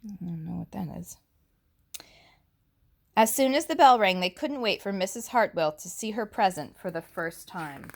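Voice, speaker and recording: A woman reading a picture book aloud to young children in an animated teacherly way, pausing to ask questions and chat about the story. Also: medium pitch. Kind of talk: expressive read-aloud storytelling with asides and questions.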